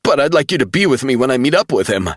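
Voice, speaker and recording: A man voicing a grief-stricken young man who pleads through sobs, high-strung and scared. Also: big pitch swings, quick pace, dry recording, studio quality. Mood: distraught pleading. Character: distraught young man, grieving hacker.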